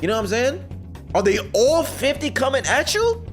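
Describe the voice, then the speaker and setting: A man's voice: very high voice, very animated, moderate pace, faint music behind. A man hosting a reaction video, giving casual, slangy, bemused live commentary.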